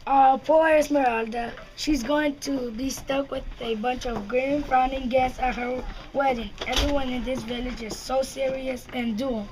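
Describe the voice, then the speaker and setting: A woman's voice: high-pitched, fairly even intonation, unhurried, hardly any pauses. A young girl voicing a mischievous, scheming coyote, playfully reading from a puppet-show script. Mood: sly. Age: child, about ten. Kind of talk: reader's theater puppet show. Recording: noisy recording with clicks.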